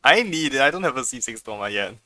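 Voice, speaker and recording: A man's voice: medium pitch, sing-song, fast talker, bright, forceful tone. A man live-streaming a video game with casual, unscripted commentary, muttering to himself and swearing freely.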